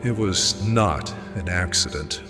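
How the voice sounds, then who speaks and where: An actor playing a guilt-ridden, despairing friar in an audio drama, grave and halting. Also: male voice, sits low. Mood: anguished confession. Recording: long reverb, music underneath. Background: music.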